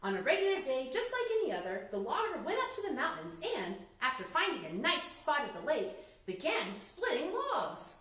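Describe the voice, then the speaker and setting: A woman narrating a storybook tale as a children's story time host, very expressive, with playful asides to her listeners. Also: sits high, normal speed. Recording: very echoey room sound.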